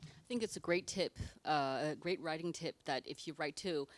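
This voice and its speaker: A woman from France moderating a library author talk, asking questions in a halting way with many fillers and restarts. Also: low-pitched. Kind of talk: conversational interview. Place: library event space with live audience.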